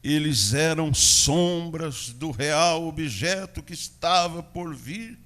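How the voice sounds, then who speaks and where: A Brazilian pastor with a São Paulo interior accent delivers a church sermon at an unhurried, deliberate pace. His voice sits a little high for a man. He swings between ringing declarations and hushed asides. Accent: Brazilian Portuguese, São Paulo interior. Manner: preaching with swings between loud proclamation and quiet asides.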